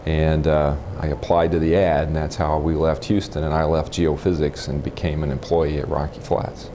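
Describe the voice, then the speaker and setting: A man in his forties from Texas with an American accent, recounting his life matter-of-factly and haltingly in an interview on a lapel mic. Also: a bass voice, level tone. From Texas, United States. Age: 40s. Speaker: interviewee, nuclear physicist. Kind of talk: informal oral history interview, recollecting. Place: room in a house, indoors. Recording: clip-on mic with faint background noise.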